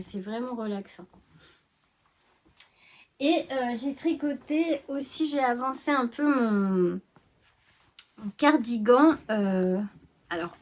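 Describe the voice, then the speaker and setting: A woman's voice: fairly high pitch, darkish tone. A woman chatting casually about her knitting to her viewers, stuffy from a head cold, talking hesitantly with many fillers and restarts. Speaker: knitting vlogger. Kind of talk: casual chat to camera.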